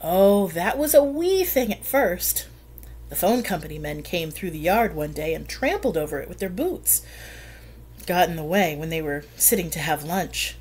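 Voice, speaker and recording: A woman's voice: fairly low pitch, darkish tone, husky. A woman voicing a wise, plainspoken older woman gardener in a storybook.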